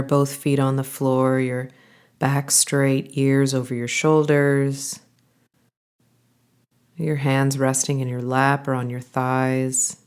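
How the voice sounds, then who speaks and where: A woman guiding a body scan meditation, giving calm, gentle instructions in an unhurried way with pauses. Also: very deep voice, clean tone. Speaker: meditation guide.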